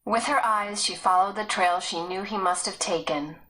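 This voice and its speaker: Female narrator for scripted children's fiction, medium-pitched, storytelling delivery. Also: moderate pace, fairly bright tone, rough recording. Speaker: audiobook narrator.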